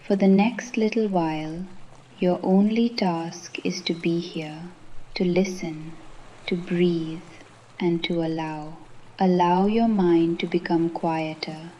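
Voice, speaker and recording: A woman gently guiding a meditation in a soothing manner, with a soft voice that turns breathy at times and frequent pauses. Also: fairly low pitch, fairly even intonation, muffled recording, a little hiss or hum. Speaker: meditation guide. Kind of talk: guided meditation narration.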